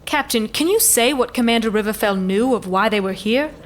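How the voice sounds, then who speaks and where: A woman's voice: fairly high pitch, expressive, a little fast, slight background noise, lightly acted. A woman playing a noble elven commander in a fantasy audio drama, speaking in formal, stately oratory. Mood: calculating, composed.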